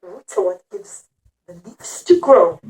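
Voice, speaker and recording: A woman's voice: medium pitch, big pitch swings, unhurried, a little mellow. A woman telling a puppet-show story to young children, explaining simply and repeating phrases with dramatic emphasis.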